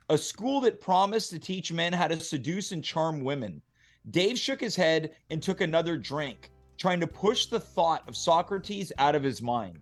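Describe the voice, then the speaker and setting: A man hosting a table read, moving between scripted narration and casual banter. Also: a little high.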